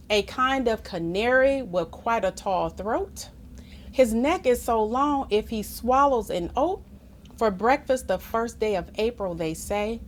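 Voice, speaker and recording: A woman reads a children's story aloud with a very lively, swooping voice on the high side. She takes her time and turns to her young listeners in an engaging way, with some background noise under the recording. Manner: animated, engaging, asks the listeners questions. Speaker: storytime reader. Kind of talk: expressive read-aloud of a children's picture book with asides to listeners.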